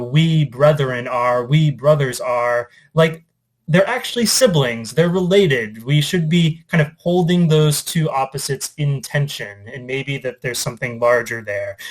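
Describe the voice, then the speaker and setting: A man speaking as an English teacher, informally reading and discussing poetry in a reflective, conversational, somewhat halting way. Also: mid-range voice, rough recording. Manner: reflective, conversational, hesitant with trailing asides.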